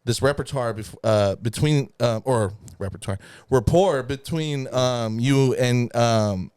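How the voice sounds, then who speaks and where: A man hosts a podcast interview with a smooth, resonant voice. He talks slowly and halts often on fillers while he builds his questions. The sound is dry and close, with no room around it. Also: mid-range voice, a little mellow, dry recording. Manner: slow, hesitant, many fillers. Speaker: podcast host. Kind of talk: interview hosting.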